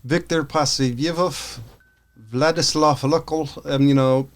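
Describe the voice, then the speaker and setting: A man's voice: on the high side, fairly even intonation, measured pace. A man with an American accent, chatting casually as a podcast co-host. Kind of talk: casual panel chat.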